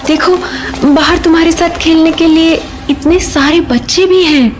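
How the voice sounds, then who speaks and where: A woman's voice: very high-pitched, fairly even intonation, moderate pace, music in the background. A woman playing an undead village mother in a horror audio drama, sweetly coaxing and teasing as she lures people out. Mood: sweetly luring.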